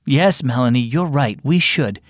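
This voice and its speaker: Gentle father, slow and reassuring, lively pitch , read by a male narrator. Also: dry recording, studio quality, close to an everyday voice. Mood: solemn. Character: gentle faithful father, calm and steady.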